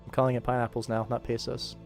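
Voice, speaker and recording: Young guy streaming while he works, rambly and playful, normal pitch, music in the background. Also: flat delivery, fast, creaky. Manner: rambling, playful, thinks aloud. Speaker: livestream host and animator. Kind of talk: casual livestream chat while working.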